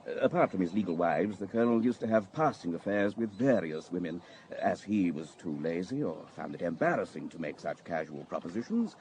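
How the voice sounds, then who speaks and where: Radio drama role: knowing, cordial male inspector, dark mellow tone, faint noise behind. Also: low voice, lively intonation, fairly quick, few pauses. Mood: knowing, discreet. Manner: courteous, hesitant, knowing. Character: cordial, worldly male chief inspector sharing gossip discreetly. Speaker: police inspector.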